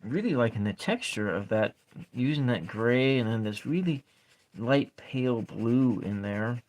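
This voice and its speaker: A man with a calm voice chatting softly with viewers while he draws and colors tarot cards, trailing off and restarting as he works. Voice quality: calm speaking voice. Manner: quiet, low-key chatting with viewers. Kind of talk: soft-spoken ASMR art livestream chat.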